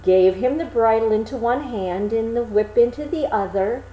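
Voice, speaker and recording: A woman reading a fairy tale aloud as an expressive storyteller in a roomy-sounding space. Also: on the high side, normal speed. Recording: roomy echo.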